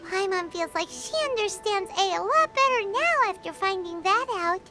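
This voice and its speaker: A squeaky tiny fairy sidekick. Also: female voice, lively intonation, normal speed. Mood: excitable. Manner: talks of herself in the third person. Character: tiny excitable female fairy companion. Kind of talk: game dialogue. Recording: game audio with loud music.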